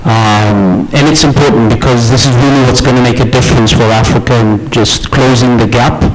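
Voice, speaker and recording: A man's voice: medium pitch, fairly even intonation, moderate pace, soft background music, low-quality recording. A middle-aged man from Ethiopia who grew up in the US, giving an informal conference speech as an executive, often checking in with the audience. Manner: conversational, checks in with "yeah?" and "okay?". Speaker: company executive, conference speaker.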